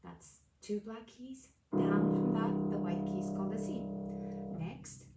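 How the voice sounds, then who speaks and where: A woman with a low voice giving a casual piano lesson, explaining calmly while playing notes, recorded from a distance in a room with a piano. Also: a little slow, creaky, echoey room. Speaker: piano teacher.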